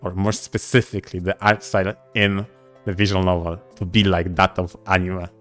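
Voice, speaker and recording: A man with a fairly low voice talks casually as a podcast co-host. His phrasing is deliberate and broken into short, halting pieces. Soft music plays faintly behind him. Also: level tone. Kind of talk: casual discussion of visual novels.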